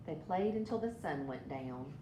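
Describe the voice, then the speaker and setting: A woman's voice: animated, normal speed, dark tone. A woman reading a children's story aloud as a storybook narrator. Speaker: storybook reader. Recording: echoey room with background rumble.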